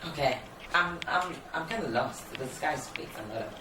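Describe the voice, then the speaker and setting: Male host, mid pitch, teasing and playful, far from mic, noisy background. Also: animated. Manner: playful, teasing, quick to laugh. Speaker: radio show host. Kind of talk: casual radio interview. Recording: echoey room, distant mic, background noise.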